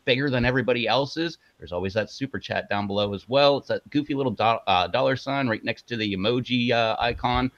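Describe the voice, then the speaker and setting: A man's voice: mid-range voice, a bit bright, dry recording, muffled recording. A man co-hosting a casual live stream who talks in a chatty way, laughs often and promotes the channel.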